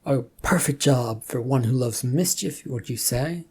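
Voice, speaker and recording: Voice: mid-range voice, a little slow, darkish tone, husky. A narrator playing a bored, mischievous male god with a dry, challenging manner in a story reading. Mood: sly.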